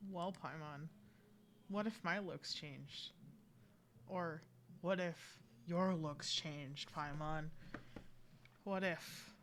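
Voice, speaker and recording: A woman's voice: low-pitched, drawn-out words, pauses often, noisy background. A woman giving casual live gameplay commentary as a streamer, in a rambling, joking, slangy way. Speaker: game streamer. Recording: game music behind voice.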